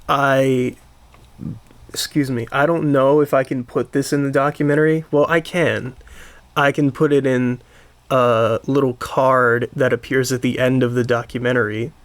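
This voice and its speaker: A man hosting a casual podcast conversation, talking haltingly and circling back to rephrase with keen interest. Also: mid-range voice, steady tone. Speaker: podcast host.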